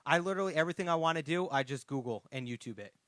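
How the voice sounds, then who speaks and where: A man's voice: on the high side, lively intonation, rapid-fire, fairly bright tone, dry recording, studio quality. A man in his mid twenties co-hosting a podcast, talking in a laid-back, casual way. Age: 20s. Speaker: podcast co-host.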